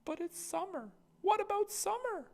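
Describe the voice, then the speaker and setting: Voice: very high voice, expressive, moderate pace, creaky, pitched above the performer's own voice, exaggerated voice. An adult reader voicing a pleading little brother, a young boy in a storybook, asking plaintively.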